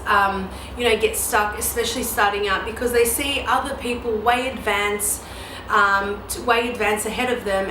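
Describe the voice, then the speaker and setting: A woman in her mid-thirties, a photographer answering viewer questions in a casual, chatty way with many ums and you-knows. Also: on the high side. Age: 30s. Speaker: newborn photographer and educator. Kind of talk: casual Q&A vlog. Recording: echoey room with steady background noise.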